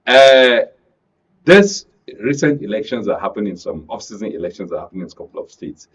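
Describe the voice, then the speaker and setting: A man with a Nigerian accent commenting on politics as a panel guest, deliberately, in short broken phrases. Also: lowish voice, expressive, slightly rough. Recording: dull lo-fi broadcast audio.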